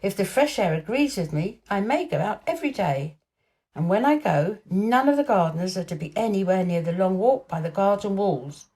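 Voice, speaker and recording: A woman's voice: medium pitch, animated, moderate pace, darkish tone, natural voice, poor audio. Woman as an imperious, dreamy young boy. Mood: lordly, commanding.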